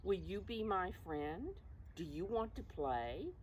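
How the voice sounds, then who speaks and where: A woman's voice: lowish voice, big pitch swings, slow, slight background noise. A woman voicing a friendly young female mountain hare in a storybook, speaking in simple, inviting phrases.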